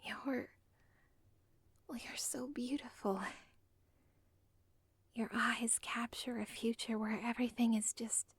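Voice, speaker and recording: Actress for obsessive young villain's daughter; intimate whisper, slow and breathy, dead-dry mic. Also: female voice, a little high, no echo, exaggerated voice. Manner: intimate, pleading, self-aware. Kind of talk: ASMR audio role play.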